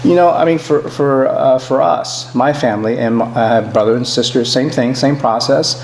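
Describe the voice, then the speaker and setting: An older man speaking earnestly and emphatically as a guest in a conversational interview, pausing to find his words. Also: medium pitch, a little mellow. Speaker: interview guest, immigrant businessman. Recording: echoey room with noticeable hum.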